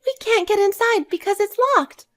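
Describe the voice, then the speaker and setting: A woman's voice: very high voice, normal speed, voice pushed up. A woman voicing a frightened young granddaughter, plaintive and pleading, in an acted family story. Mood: worried, helpless.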